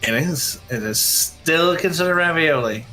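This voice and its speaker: A slow, unhurried speaker with a mid-pitched voice chats casually as a companion on a livestream. Faint guitar music plays underneath. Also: lively intonation, pushed and bright. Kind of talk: casual chat.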